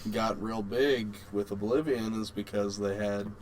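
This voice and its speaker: A man's voice: fairly low pitch, fairly even intonation, unhurried, darkish tone. A man co-hosting a podcast in casual, conversational chat. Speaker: podcast co-host. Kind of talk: casual chat, brief interjections.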